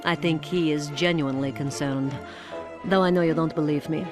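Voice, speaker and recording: A woman's voice: low-pitched, steady tone, moderate pace, lightly acted. Actress as dry, teasing Soviet woman. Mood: wry, teasing. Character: sardonic Soviet woman writing to her beloved sparring partner. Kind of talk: letter read as game voice-over. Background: music.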